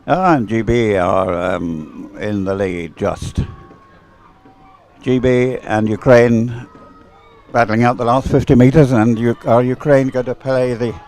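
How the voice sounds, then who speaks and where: A man's voice: medium pitch, takes it slowly, darkish tone. A man doing live sports commentary and off-the-cuff chat in a hesitant, rambling way, often repeating words.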